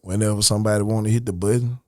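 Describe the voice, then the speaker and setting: A man talking casually as a rapper guest on a podcast, frank and profane, with loose restarts and a relaxed, unhurried way of explaining himself. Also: lowish voice, no echo, clean studio sound. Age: adult, older than his early years. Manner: laid-back, frank, profane, restarts and "you know what I'm saying".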